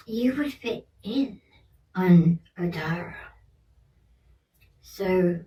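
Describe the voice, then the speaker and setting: A woman playing a gentle, curious alien researcher in an ASMR roleplay, speaking softly and soothingly and often slipping into a whisper. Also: on the low side. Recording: roomy echo, distant mic, faint noise.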